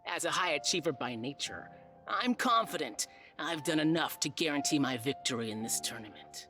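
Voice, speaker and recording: A woman's voice: sits low, animated, moderate pace, bright, forceful tone, faint music behind. A woman playing a conceited, pompous, self-assured martial arts competitor in a video game. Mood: smug.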